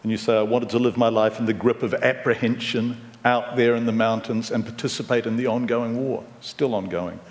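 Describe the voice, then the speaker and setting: A man interviewing a guest on stage who reads passages aloud in a steady, earnest voice. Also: medium pitch, fairly quick, hardly any pauses. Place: live event in a hall. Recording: distant mic in a reverberant hall.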